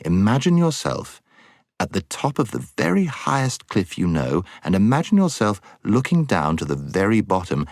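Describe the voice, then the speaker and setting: A man narrating a storybook as an audiobook reader, with dramatic, measured phrasing. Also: low-pitched, no echo, studio quality.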